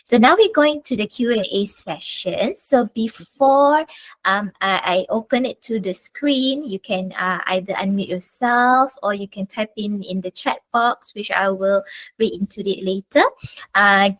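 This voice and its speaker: A woman's voice: medium pitch, dry recording, low-quality recording. A woman from Malaysia, not a native English speaker, politely moderating a Q and A over a video call. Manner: polite, halting. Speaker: webinar moderator.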